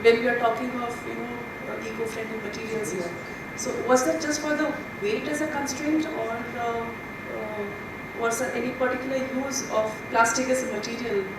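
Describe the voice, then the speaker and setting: Female conference questioner, high-pitched, heavily reverberant room, clear background noise. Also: steady tone, normal speed, few pauses. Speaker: audience questioner. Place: large indoor conference hall. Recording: very echoey, distant hall mic, noisy.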